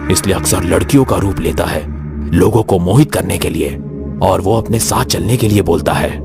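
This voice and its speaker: A man's voice: sits low, expressive, moderate pace, creaky, music in the background. A man playing a grave tantric holy man in a horror story, giving solemn instructions and warnings.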